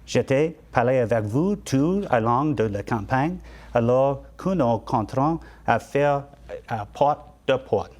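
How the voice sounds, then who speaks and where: Slow, halting man making a campaign pitch. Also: lively intonation, a little mellow. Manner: halting, repeats phrases for emphasis. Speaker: city councillor seeking re-election. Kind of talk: campaign speech.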